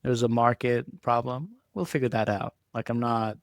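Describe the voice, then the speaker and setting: A man's voice: medium pitch, moderate pace, dry recording, clean studio sound. A man, giving reflective, conversational answers as an interview guest. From Israel. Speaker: podcast guest, entrepreneur.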